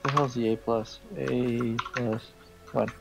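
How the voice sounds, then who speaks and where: A man chatting casually as he streams a game, halting and often repeating his words. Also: mid-range voice, flat delivery, vocal fry, noisy background. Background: video game music.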